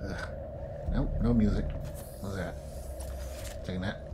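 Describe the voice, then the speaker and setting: Male let's player, lower register, casual muttered asides, clearly noisy recording over game ambience. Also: a little slow. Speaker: video game player, host. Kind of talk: casual let's play commentary.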